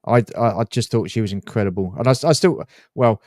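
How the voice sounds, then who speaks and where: A middle-aged British man chatting as a guest, plain-spoken and halting, often repeating his words. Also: lowish voice, lively intonation, a little mellow, dry recording, studio quality. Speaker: guest toy collector. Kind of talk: casual chat. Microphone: remote live stream.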